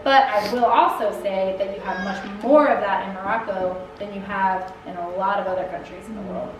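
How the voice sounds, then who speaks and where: A woman's voice: medium pitch, fairly even intonation, moderate pace. A young woman chatting casually in a Q&A, laughing often and using lots of fillers. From United States. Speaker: Peace Corps volunteer answering questions. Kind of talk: casual Q&A chat. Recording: echoey room, distant mic, background noise.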